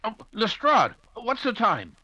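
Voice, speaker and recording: Fussy, bumbling gentleman companion for radio mystery, male actor; high-pitched, very animated, slight background noise. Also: slow, highs cut off, full character voice. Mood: observant, concerned. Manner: bumbling, hesitant, exclaiming. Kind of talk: old-time radio mystery acting.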